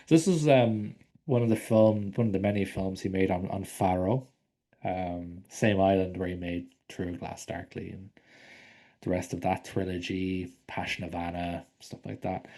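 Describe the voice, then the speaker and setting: A man's voice: low voice, dark tone. A man co-hosting a casual film podcast, rambling with lots of ums and likes and casual swearing. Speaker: podcast co-host.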